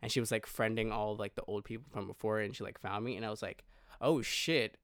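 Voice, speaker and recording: A man's voice: on the low side, flat delivery, very fast, no echo. Rambling, slangy young American man, dry sound. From New York and New Jersey, United States. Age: twenties. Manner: rambling, slangy, self-interrupting, heavy use of "like". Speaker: podcast co-host. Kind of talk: casual chat.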